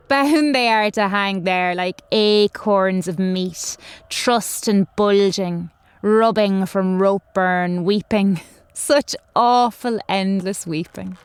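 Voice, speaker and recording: Slow, smooth, sinister grandmother played by a woman. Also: mid-range voice, lightly acted. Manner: slow, savouring, gleeful menace. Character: sinister old grandmother telling a grim horror tale. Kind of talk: scripted horror storytelling.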